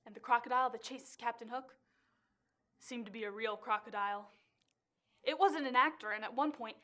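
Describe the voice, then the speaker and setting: A woman's voice: a little high, pushed and bright. A woman performing a stage monologue as a bewildered, intense young woman, with dramatic, emphatic storytelling. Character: young woman, bewildered and intense, recalling a traumatic childhood theater outing.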